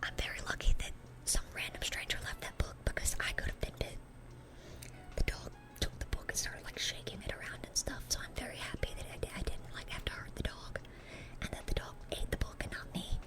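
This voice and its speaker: A woman's voice: brisk, natural voice. Woman playing a whispering, dorky demon girl. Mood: earnest rambling. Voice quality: breathy, unvoiced whisper. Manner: rambling, easily sidetracked, earnest and chatty. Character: dorky, rambling, sweet-natured young demon girl. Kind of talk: ASMR roleplay, whispered monologue.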